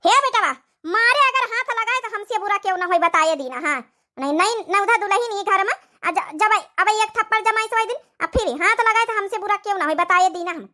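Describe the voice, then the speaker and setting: Cartoon role: woman performer as elderly Awadhi mother-in-law, very high bright voice, doting, coaxing rural manner. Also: a little fast. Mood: scolding. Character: patient old village mother-in-law, doting and soothing. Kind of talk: Awadhi comedy cartoon voice acting.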